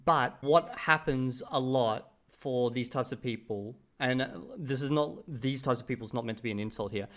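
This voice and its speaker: An Australian man hosting his channel, giving relaxed, opinionated commentary on another creator's video. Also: mid-range voice, normal speed, few pauses. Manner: relaxed, opinionated, chatty asides. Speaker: channel host reviewing a video. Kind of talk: casual commentary.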